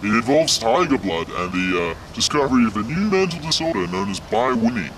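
An actor playing a man whose everyday voice sounds like a disguised voice from an anonymous interview, speaking deadpan and matter-of-fact. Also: medium pitch, fairly quick, recorded from a distance, a little hiss or hum.

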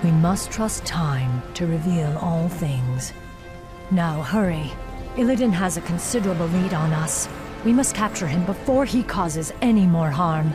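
A woman's voice: on the low side, a little slow, music in the background, lightly acted. A woman voicing a stern, relentless night elf warden, grave and commanding, in a fantasy game. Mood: grim, urgent. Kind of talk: dramatic fantasy game acting.

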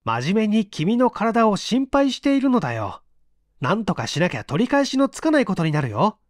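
Man reading as a high-pitched, condescending scolding friend. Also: wildly swooping pitch, moderate pace, no echo, studio quality. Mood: earnest chiding. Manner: emphatic, condescending, chiding. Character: lecturing, superior male friend, earnestly scolding. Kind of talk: acted dialogue in storybook reading.

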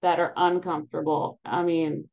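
A woman's voice: low voice, little pitch movement, normal speed, vocal fry. Thirties woman, webinar presenter, encouraging and chatty, slightly muffled call audio. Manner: upbeat, encouraging, with many fillers and restarts. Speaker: advocacy program staff presenting a webinar. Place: home room behind a virtual background. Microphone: laptop webcam mic on a video call.

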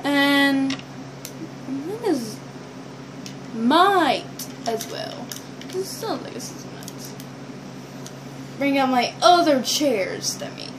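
A woman's voice: sits high, expressive, slow pace, pauses often. A young teenage girl chatting casually and ramblingly to the camera while showing toys, recorded on a built-in device mic in a bedroom. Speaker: vlogger showing toy builds. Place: small bedroom, indoors. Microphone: built-in device mic at arm's length. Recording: noisy amateur webcam audio.

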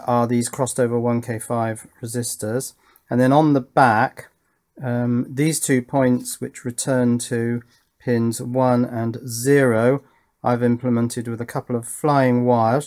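A middle-aged man presents as a hobbyist at a workbench, in an average-pitched voice. His talk is casual and hands-on. He thinks aloud and puts questions to himself as he goes. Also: darkish tone. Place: indoor workbench.

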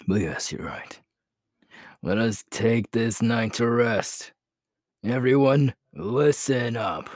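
A man playing a ruthless, menacing young werewolf alpha in an ASMR audio roleplay, gravelly and breathy, slow and boastful, often dropping to a whisper. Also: medium pitch, a little mellow, no room sound, studio quality. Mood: low, intimate, then commanding.

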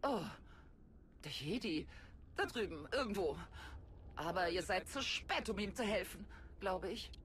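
A woman's voice: mid-range voice, expressive, unhurried, pauses often, slight background noise, natural voice. A woman playing a deranged, haughty Sith villain in a video game, theatrical and distracted as she speaks. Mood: dismissive, haughty. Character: deranged female Sith villain, muttering and dismissive. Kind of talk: dubbed video game cutscene acting.

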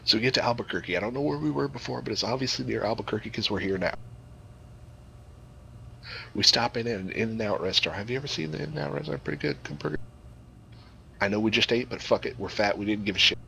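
A man improvises a comic tale with theatrical flair and plenty of swearing. His voice is gravelly and forceful, with some noise on the recording. He rambles through the story as he goes. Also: on the low side, fast, pauses often.

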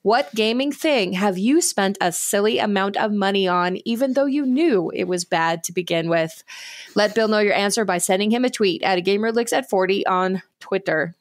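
A woman's voice: medium pitch, moderate pace, dry recording. Woman podcast host in a dry studio. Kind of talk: casual chat.

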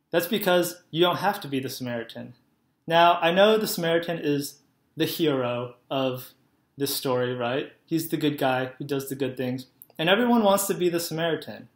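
A young man giving a children's sermon from his living room, telling a Bible story simply and often checking in with "right?". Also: on the high side. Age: young adult. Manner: friendly, simple storytelling, asks "right?" to kids. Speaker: Sunday school teacher. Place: living room at home, indoors. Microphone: laptop webcam, head and shoulders. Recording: echoey room.

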